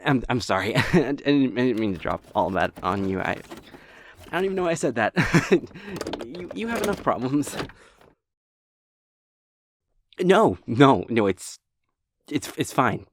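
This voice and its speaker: A man's voice: mid-range voice, sing-song, normal speed, slight background noise. A man playing a shy, awkward young demon hunter in an anime audio drama, stammering, hesitant and apologetic. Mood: troubled, apologetic.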